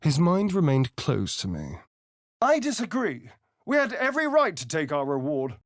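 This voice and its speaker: A man's voice: a little high, wildly swooping pitch, moderate pace, no echo, studio quality. A man playing a vain, sardonic vampire rogue in a video game, with an arch, theatrical, drawling delivery. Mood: bitter, dramatic. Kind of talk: video game character voice lines.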